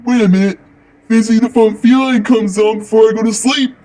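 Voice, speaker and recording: A man's voice: sits high, fairly even intonation, a little fast, darkish tone, natural voice. A man voicing a sleepy, childlike, good-natured cartoon puppet character in a comic puppet show. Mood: sleepy, eager.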